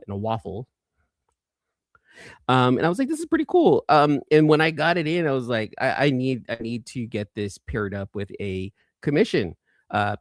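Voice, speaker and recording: A man's voice: on the low side, dry recording, studio quality. A man hosting a casual livestream, chatting with viewers in a rambling, conversational way and often repeating his phrases. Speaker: livestream host and toy collector. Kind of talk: casual live chat, reading viewer comments.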